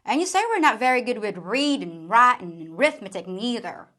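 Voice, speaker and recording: A woman's voice: on the high side, lively intonation, a little fast, bright, forceful tone. A woman from the Philippines hosting a scripted commentary video, speaking with wry, playful sarcasm. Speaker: commentary channel host.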